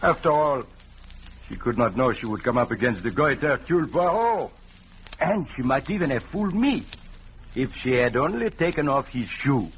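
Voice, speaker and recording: An actor playing a vain, shrewd detective with a French accent and courtly, grand manner in a 1940s radio drama. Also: male voice, mid-range voice, animated, normal speed, close to an everyday voice. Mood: smug, triumphant. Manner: pompous, courtly, speaks of himself grandly. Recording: dull, noisy 1940s radio recording.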